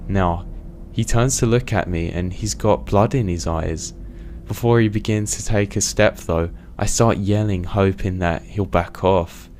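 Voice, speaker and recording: A deep-voiced man tells a scary true story in the first person, his delivery tense and deliberate. A faint hum of ambient noise sits under the voice. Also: a little mellow. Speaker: horror story narrator.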